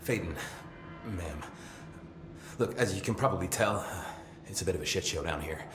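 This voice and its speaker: An actor playing a chatty, nervy, loyal maintenance security chief in a video game, rambling informally with wry swearing. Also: male voice, low voice, moderate pace, echoey room, over music, close to an everyday voice. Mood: flustered, apologetic.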